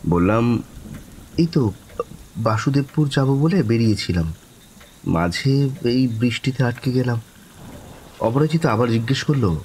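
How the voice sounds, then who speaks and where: A man playing a courteous young man who narrates his own eerie encounter in a horror audio story, lingering and expressive. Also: mid-range voice, a little slow, pauses often, slight background noise, lightly acted, poor audio. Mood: courteous, hesitant. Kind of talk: dramatic first-person horror story narration.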